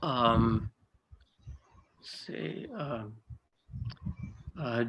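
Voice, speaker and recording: Slow, bright-voiced man in a quiz. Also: mid-range voice, steady tone, low-quality recording. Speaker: quiz contestant. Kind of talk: casual, brief replies.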